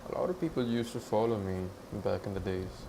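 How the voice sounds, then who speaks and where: A man's voice: fairly low pitch, lively intonation, brisk, gravelly, background noise. A young man streaming live, talking casually, repeating himself and swearing now and then. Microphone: headphones with monitoring.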